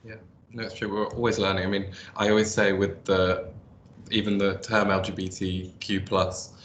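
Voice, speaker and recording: A man hosting a casual interview, friendly and softly spoken. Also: low-pitched, flat delivery, normal speed. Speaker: network host. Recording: echoey room with faint hum.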